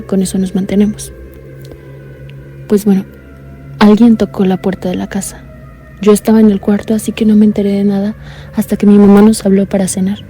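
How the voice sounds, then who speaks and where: A young woman playing a blind caller recounting a scare in a soft, earnest, confiding way. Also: mid-range voice, natural voice. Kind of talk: radio drama narration.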